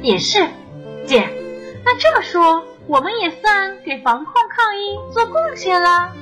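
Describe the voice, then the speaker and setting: Actress as a very high-voiced rural young mother. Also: very animated, unhurried, sharp tone, soft background music, heavily acted. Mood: eager, curious. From Henan, China. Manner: eager, respectful, plain-spoken. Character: cheerful, grateful younger rural mother, canteen helper. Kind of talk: radio drama acting.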